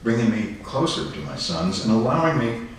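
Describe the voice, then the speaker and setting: A man giving a dramatic reading of a written memoir at a public program, with measured, deliberate phrasing. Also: medium pitch, expressive, creaky, slight background noise. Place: indoor arts center venue. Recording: roomy echoing hall, distant mic, faint music.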